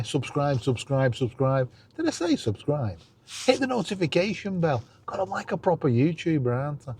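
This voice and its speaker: A man's voice: mid-range voice, darkish tone, breathy edge. A middle-aged man, chatting casually and enthusiastically as a self-deprecating YouTube host.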